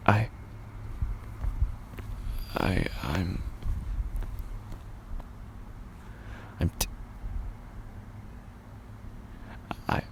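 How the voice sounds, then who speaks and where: Horror podcast monologue: male actor as an unhinged, grieving man living alone. Gravelly and breathy, often whispered, pitch swinging wildly, halting and repetitive, faint background noise. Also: exaggerated voice. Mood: dazed, halting. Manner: halting, repetitive, slow brooding delivery. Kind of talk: first-person horror audio drama monologue.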